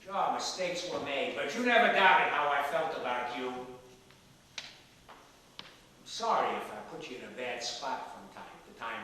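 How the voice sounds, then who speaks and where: A man acting as an elderly father in a stage play, roguish, stubborn and full of affection. His voice is bright and very animated as he pleads and persuades. It is heard from far off in a reverberant theatre. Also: on the high side, full character voice. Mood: earnest pleading. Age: older. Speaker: stage actor. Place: theatre stage, recorded from the audience area. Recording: echoey theatre hall, distant mic, faint noise.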